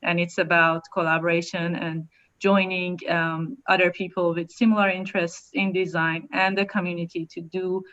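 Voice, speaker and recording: A woman from Iran speaks as an architect on an online panel, measured and reflective with the odd filler. Her voice sits a little low. The call audio is dull and lo-fi. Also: fairly bright tone.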